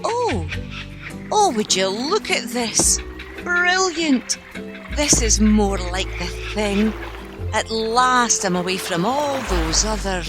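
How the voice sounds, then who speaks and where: A woman's voice: on the high side, animated, takes it slowly, over music. A woman playing a discontented, wistful Scottish woman longing for change in an amateur radio play. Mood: hopeful, delighted.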